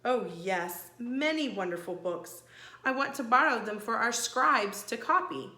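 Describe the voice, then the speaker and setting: A woman voicing a calm, gracious old enchantress in a children's storybook, speaking in a measured, precise way. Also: fairly high pitch, roomy sound. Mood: serene, explaining.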